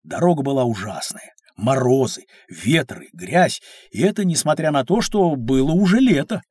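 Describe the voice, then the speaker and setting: Casting: patient, knowledgeable uncle in educational audio drama; raspy edge, expressive, dry studio sound. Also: male voice, mid-range voice, normal speed, no echo. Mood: patient, explanatory. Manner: patient, storytelling, emphatic. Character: knowledgeable uncle explaining history to children.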